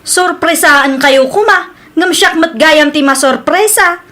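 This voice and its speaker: A woman playing a sharp-tongued younger sister in an Ilocano radio drama. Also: sits high, measured pace. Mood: pointed, mock cheerful.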